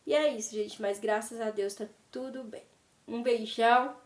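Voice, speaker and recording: A woman's voice: fairly high pitch, moderate pace. Chatty Brazilian woman with a cold. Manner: chatty, tags sentences with "né?" and addresses viewers as "gente". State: slightly congested from a cold. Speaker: fashion and lifestyle vlogger. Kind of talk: casual chat to viewers. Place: at home by her wardrobe. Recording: roomy, distant from the mic.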